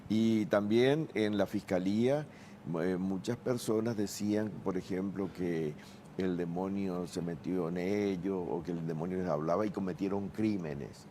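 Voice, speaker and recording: A man with a very low, mellow voice speaks as a forensic psychiatrist on a TV panel. He is measured and factual. Faint hiss sits behind him. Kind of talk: studio panel discussion.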